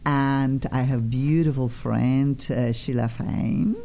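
A woman with a very deep, mellow voice and a Polish accent. She hosts talk radio informally, gushing and chatting as she goes. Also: fairly even intonation, slow, gravelly, slight background noise. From Poland. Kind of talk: casual, chatty radio hosting.

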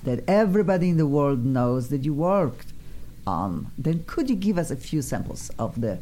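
A woman with a Polish accent hosting a casual studio interview, chatty and effusive, flattering her guests. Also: sits low, normal speed. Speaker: radio show host. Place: radio studio.

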